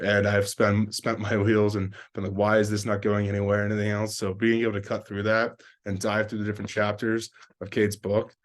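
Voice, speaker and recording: A man giving an informal spoken review as a sales professional, earnest and rambling with plenty of business jargon. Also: fairly low pitch.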